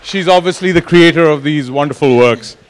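A man's voice: a little high, moderate pace, some chatter behind, a little hiss or hum. A man acting as event host, briefly introducing the next speaker with a few hesitations. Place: large conference hall, indoors.

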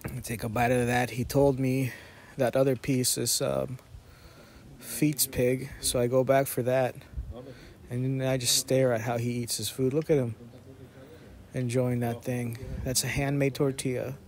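A man in his thirties narrating a casual food vlog with deadpan, joking storytelling. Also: medium pitch, a little slow. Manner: deadpan, jokey. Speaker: food vlogger.

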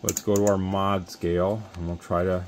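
A man's voice: low voice, level tone, moderate pace, noisy background. A man who runs a radio shop, talking casually through a bench demonstration in a plain, conversational way. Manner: plain, conversational, with frequent restarts and mic test counts. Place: radio workbench full of test equipment, indoors.

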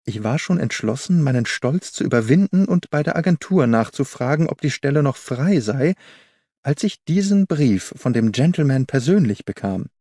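A man narrates a scripted detective story with a soft, mellow tone. His delivery is measured but expressive, at a middle pitch. The sound is close and very dry. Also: dry recording. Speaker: audiobook narrator.

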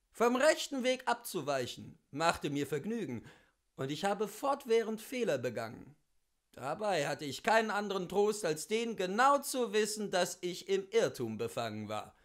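A man giving an expressive, theatrical reading of a literary memoir, with deliberate phrasing and emphatic pauses. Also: sits high. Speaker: reader of literature.

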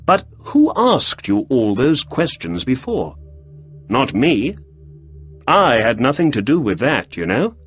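A courteous, probing detective with a raised, lively voice and a soft husky edge, as a male narrator puts him on. He questions people politely and steadily, over faint music. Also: mid-range voice, slow pace, pitched above the performer's own voice, exaggerated voice.

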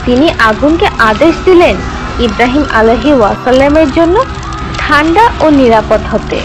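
Female children's narrator, high lively voice, expressive teaching delivery over music. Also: normal speed, low-quality recording. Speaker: narrator of a children's religious cartoon. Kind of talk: scripted storytelling narration for children.

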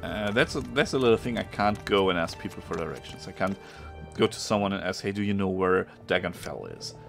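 Casting: German-accented male gamer, very low gravelly voice, chatty, searches for words, faint music under him. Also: animated, brisk. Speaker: gameplay streamer. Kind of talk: casual let's-play commentary.

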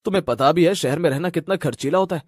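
A deceitful young husband in a cartoon, voiced by a man. His pitch is fairly high and the sound is dry, with a dismissive, smooth-talking delivery. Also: quick pace, dry recording. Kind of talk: animated story dialogue.

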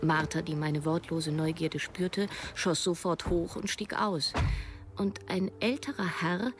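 A woman's voice: lowish voice, unhurried, faint music behind, lightly acted. An actress playing an observant, wry woman in her thirties who narrates a radio play in the first person. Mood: watchful, wry. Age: 30s.

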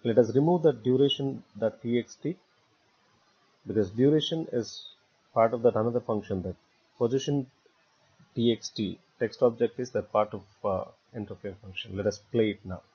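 A man narrating a step-by-step software tutorial in a halting way, repeating words as he goes. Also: medium pitch, slightly rough. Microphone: voice-over recorded for screen capture. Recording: dull, muffled lo-fi voice recording.

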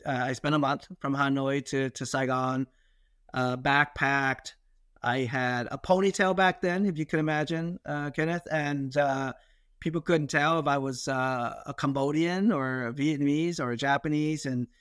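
A man's voice: mid-range voice, fairly even intonation, normal speed, fairly bright tone, dry recording, studio quality. A middle-aged man with an American accent, talking in a reflective, hesitant, conversational way as a guest telling his own story in an interview. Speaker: podcast guest, investor.

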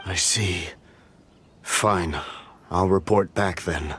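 A man's voice: deep voice, very slow, a bit bright, a little hiss or hum, full character voice. An actor playing an anxious middle-aged official and fretful father in a video game, flustered, earnest and explanatory. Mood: doubtful, dismayed.

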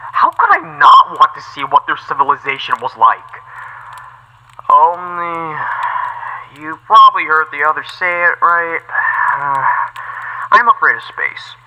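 A man's voice: on the high side, highs cut off. A man voicing a timid, friendly alien astronaut archaeologist with a hesitant, rambling, awkward way of talking, as fan-made game lines. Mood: eager, wistful.

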